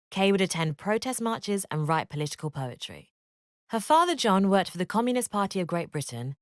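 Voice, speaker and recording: A woman giving scripted educational narration in a measured, expressive read-aloud manner. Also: deep voice, dry recording, studio quality.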